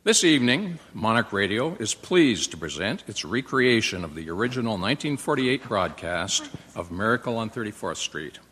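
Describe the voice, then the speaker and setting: A man's voice: fairly low pitch, very animated, moderate pace, few pauses, a bit bright, slightly rough. A man hosting a live radio play, reading its introduction with fillers and slips. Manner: reads with frequent fillers and slips. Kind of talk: read introduction. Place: live stage performance venue. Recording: long reverb in a hall.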